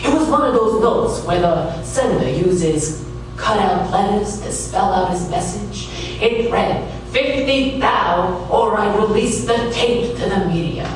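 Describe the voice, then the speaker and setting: Man acting as a hardboiled detective, deadpan, wisecracking, wary, radio comedy, distant mic, very echoey room. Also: high voice, slow, noisy background. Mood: wary storytelling. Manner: deadpan, wry. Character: wisecracking, wary male private detective narrating his case.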